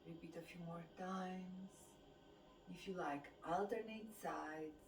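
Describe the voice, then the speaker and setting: A woman from Romania with a Romanian accent, a fitness instructor guiding a qigong class with calm cues timed to the breath. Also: on the low side, slow pace, soft background music. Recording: roomy sound from a distant mic.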